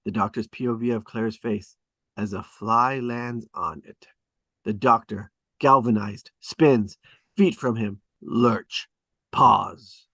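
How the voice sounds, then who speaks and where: A man's voice: lowish voice, takes it slowly, fairly bright tone, no room sound. A man reading stage directions at a drama table read, with emphatic, clipped and dramatic phrasing.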